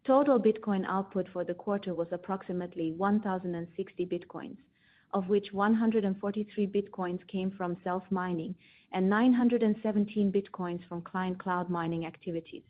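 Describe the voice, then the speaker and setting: A woman's voice comes over a thin, phone-like conference line. Her pitch is average, and she reads a prepared financial report formally, in the manner of a company executive presenting results. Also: moderate pace. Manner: formal, read-out delivery. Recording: tinny phone-line conference call audio.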